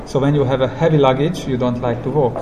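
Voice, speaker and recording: A man who speaks English as a non-native language, telling travel stories as a guest in a casual interview, in simple, halting, reflective phrases. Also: mid-range voice, fairly even intonation. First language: not English. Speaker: interview guest, long-term hitchhiking traveller. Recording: clear background ambient noise.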